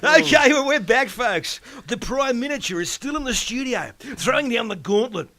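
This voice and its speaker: A man playing a crass, hyped-up Australian breakfast radio DJ in a comedy sketch, shouting his patter. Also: very high-pitched, lively intonation, moderate pace, full character voice. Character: loud, crass commercial breakfast radio jock.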